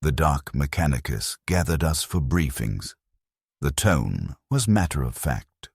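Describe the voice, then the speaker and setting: A man narrating a scripted dramatic science fiction story in a somber, resigned manner, with a gravelly, husky voice. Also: extremely low pitch, lively intonation, measured pace, no echo, studio quality.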